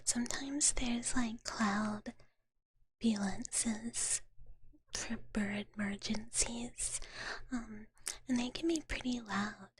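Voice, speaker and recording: Slow, softly whispering ASMR woman. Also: on the high side, low-quality recording. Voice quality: soft, breathy whisper. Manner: gentle, cutesy, refers to self in third person. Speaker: ASMR livestream host. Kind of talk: whispered ASMR, casual chat with viewers. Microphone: close ASMR microphone.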